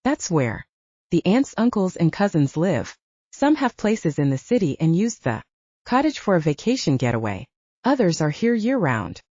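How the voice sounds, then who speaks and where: A woman's voice: on the low side, very animated, moderate pace, dark tone, no room sound, highs cut off. A woman narrator voicing a protective, commanding male werewolf, an older brother, in an audiobook. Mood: reassuring, matter-of-fact.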